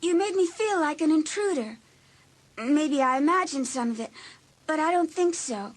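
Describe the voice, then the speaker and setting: An actress playing a hurt, insecure, apologetic teenage girl in an audiobook drama, with an emotional, halting, pleading delivery. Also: high voice, expressive. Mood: wounded, confessing.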